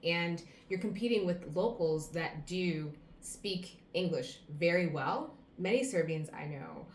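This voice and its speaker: Low-voiced American woman giving advice. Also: lively intonation, slow pace. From United States. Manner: earnest, advice-giving, lists things off. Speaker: vlogger. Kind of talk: casual talk to camera. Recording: roomy echo, faint background hum.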